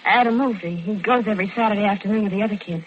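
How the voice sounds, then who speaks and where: An actress playing a hurt but yielding American ex-wife in a vintage radio drama. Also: female voice, medium pitch, racing, close to an everyday voice. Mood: surprised, wary. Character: hurt, yielding ex-wife and mother, still soft-hearted. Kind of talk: 1940s-50s radio drama acting. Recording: dull lo-fi old radio recording.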